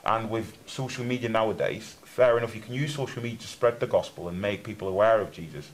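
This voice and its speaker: Low-voiced rambling young interviewer. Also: male voice, fast, slight background noise, low-quality recording. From Germany. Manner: rambling, restarts questions often. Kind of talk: casual church interview.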